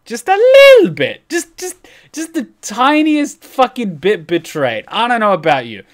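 Animated young man streaming sarcastic reactions. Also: very high voice, measured pace. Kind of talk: casual, profane reaction commentary.

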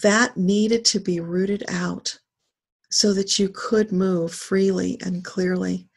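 A woman leading a guided spiritual meditation as a teacher, speaking solemnly in short, deliberate phrases with pauses. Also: mid-range voice, flat delivery, vocal fry, husky.

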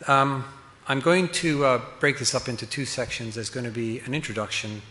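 A man's voice: mid-range voice, steady tone, bright, forceful tone. A man hosting a conference event, formally introducing the evening's speaker from a podium. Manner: formal, measured with filler pauses. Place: large hall with chandeliers. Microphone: podium microphone. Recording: reverberant hall with faint hiss.

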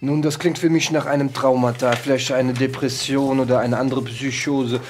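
A man playing a polite, suspicious French gentleman doctor in a tabletop game, speaking formally with French words sprinkled in. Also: mid-range voice, level tone, normal speed, a little hiss or hum, close to an everyday voice. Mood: concerned, formal. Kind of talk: improvised tabletop role-play acting.

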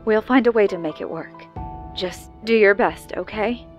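A woman acting as a gentle mother, soothing, reassuring, visual novel game, clear music behind. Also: medium pitch, moderate pace, natural voice. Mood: tender, reassuring.

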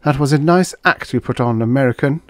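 A man's voice: mid-range voice, brisk, dry recording, studio quality, voice pushed up, close to an everyday voice. A man voicing a proud, guarded foreign woman scientist in a story, with a clipped, insistent manner. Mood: cold, defiant.

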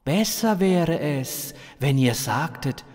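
A man's voice: fairly high pitch, animated, slow pace, a little mellow, big-room sound. A man voicing a pedantic, oddly literal alien with stilted, over-enunciated speech for an audio story. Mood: pedantic, earnest.

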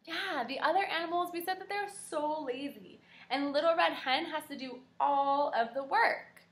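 A young woman teaching kindergarten, reading aloud and prompting children with animated, encouraging questions. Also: sits high, moderate pace. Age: young adult. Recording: echoey indoor room.

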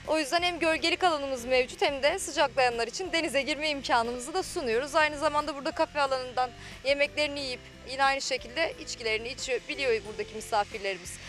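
A woman's voice: high-pitched, fast, few pauses, a bit bright, faint music behind. A young woman TV reporter interviewing outdoors by a beach, holding a handheld mic and speaking in fluent, polished presenter phrases. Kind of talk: on-camera interview. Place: outdoors by a beach under trees.

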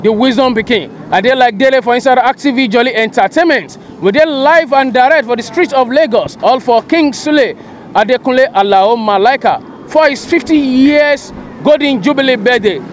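A young man with a Nigerian Pidgin accent interviewing passersby on a busy street, lively and coaxing, on a handheld mic. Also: very high voice, a little hiss or hum. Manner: lively, coaxing, hypes the viewers. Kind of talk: street vox pop in pidgin. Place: busy outdoor street market.

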